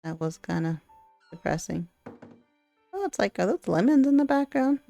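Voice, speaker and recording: A woman's voice: mid-range voice, very animated, normal speed, gravelly, dark tone, no echo, lo-fi sound. A woman hosting a let's play, reading a visual novel aloud and reacting casually. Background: faint music.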